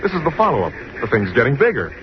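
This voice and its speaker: Murph, fast-talking eager newsman. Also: mid-range voice, wildly swooping pitch. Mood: urgent, excited. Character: eager, insistent newsroom subordinate Murph. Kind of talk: old-time radio drama acting. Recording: muffled, noisy old radio recording.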